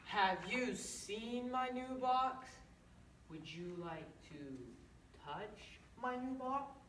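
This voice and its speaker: Teen acting out a boastful young show-off, slow, bright voice, smug, stage storytelling, echoey distant recording. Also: medium pitch. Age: young teenager. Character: boastful young wemmick showing off his new things. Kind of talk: readers theater storytelling. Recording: echoey room, distant mic, background noise.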